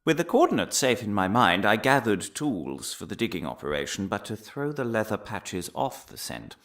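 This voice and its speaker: A man's voice: on the low side, big pitch swings, normal speed, fairly bright tone, no room sound, studio quality. A man playing a deluded, paranoid professor in a comic audio drama monologue, earnest and pompous, with a theatrical, stammering delivery. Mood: earnest, self-satisfied. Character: deluded paranoid male professor, eccentric conspiracy theorist.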